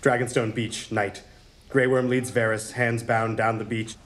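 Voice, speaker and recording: A man's voice: on the low side, fairly even intonation, a little slow, a bit bright. A man narrating script directions in a dramatic reading at a table read. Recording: room with faint noise and crowd.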